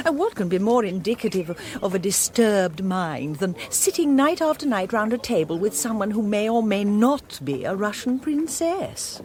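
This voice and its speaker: A woman's voice: mid-range voice, very animated, normal speed, darkish tone, close to an everyday voice. An actress playing a haughty middle-aged English society queen in a radio comedy, with affected, falsely sympathetic grandeur and an upper-class accent. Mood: feigned pity. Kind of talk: British radio comedy acting.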